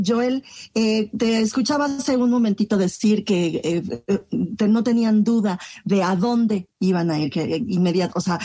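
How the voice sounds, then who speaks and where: A woman's voice: mid-range voice, mellow. A woman with a Mexican accent co-hosting a radio show, asking questions with frequent hesitations. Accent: Mexican Spanish. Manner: hesitant, many fillers while framing questions. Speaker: radio co-host.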